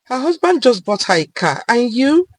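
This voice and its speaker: A woman storyteller puts on a nagging older woman in a dramatic tale. She is pointed, scornful and status-conscious, her pitch very animated. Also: moderate pace, no echo.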